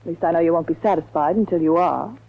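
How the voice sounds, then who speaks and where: A woman's voice: fairly low pitch, fast. Casting: hard, mocking young female blackmailer, sardonic and cool, 1940s radio acting, muffled old recording. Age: young adult. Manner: taunting, self-assured, sardonic. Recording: muffled vintage radio broadcast.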